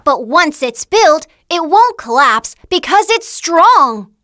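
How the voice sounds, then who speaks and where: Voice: high-pitched, big pitch swings, takes it slowly, background noise, heavily acted. An actor voicing an earnest, careful youngest little pig with a childlike manner in a children's cartoon. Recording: music behind the voice.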